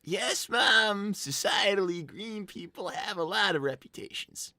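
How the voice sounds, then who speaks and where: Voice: mid-range voice, expressive, unhurried, bright, forceful tone, no echo, studio quality. A performer voicing a young boy fox, stammering and exasperated as he argues with his mother in an improvised livestream roleplay. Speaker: character on a live roleplay show. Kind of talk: improvised in-character livestream chat.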